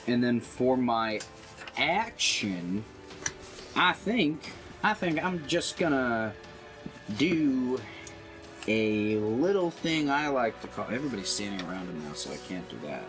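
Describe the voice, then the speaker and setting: A man's voice: medium pitch, very animated, unhurried, a bit bright. A man playing a tabletop roleplaying game, talking casually and hesitantly as he thinks aloud. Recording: faint music behind the voice. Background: faint music.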